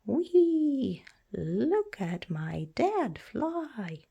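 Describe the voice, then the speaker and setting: Woman putting on high, excitable little storybook characters. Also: very slow, gravelly, husky, dull audio, exaggerated voice. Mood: gleeful.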